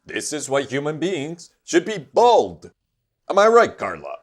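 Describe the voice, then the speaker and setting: Man playing a lively, pompous puppet news anchor. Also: fairly high pitch, unhurried, full character voice. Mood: showy, pompous. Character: puppet male news anchor, self-important and showy. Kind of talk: mock newscast, English fan dub.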